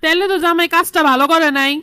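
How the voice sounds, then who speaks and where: A woman's voice: extremely high pitch, steady tone, racing, pushed and bright, no room sound, soft background music. A woman voicing a meddling, scheming middle-aged cartoon mother-in-law with a goading manner and a Bangladeshi accent. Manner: goading, opinionated. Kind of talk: comedy cartoon dialogue.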